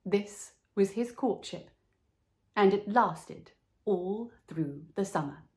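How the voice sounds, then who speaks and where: Slow, measured female storyteller. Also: medium pitch, animated, darkish tone. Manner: measured, deliberate phrasing. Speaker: storybook reader. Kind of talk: scripted children's story narration.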